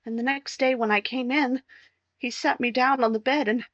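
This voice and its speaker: Actress as high-voiced, distraught young wife. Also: female voice, steady tone, fairly bright tone, dry recording, dull audio. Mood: anguished recounting. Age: young adult. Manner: emotional, halting, pleading. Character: distraught young wife confessing, pleading and wounded. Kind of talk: 1950s-style radio crime drama acting.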